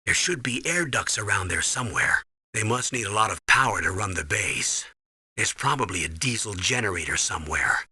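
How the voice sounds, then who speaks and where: An actor playing a stern older military commander, giving authoritative, urgent briefings in a video game with a husky voice. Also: male voice, lowish voice, lively intonation, normal speed, sharp tone, no echo, full character voice. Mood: instructive, watchful. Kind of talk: video game voice acting, tutorial instructions.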